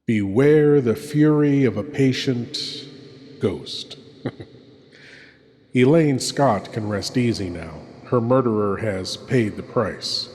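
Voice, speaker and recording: A man playing a sinister, theatrical horror host, with menacing, deliberate scripted delivery. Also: mid-range voice, darkish tone, slight background noise, natural voice. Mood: ominous, gloating. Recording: long cavernous reverb over music.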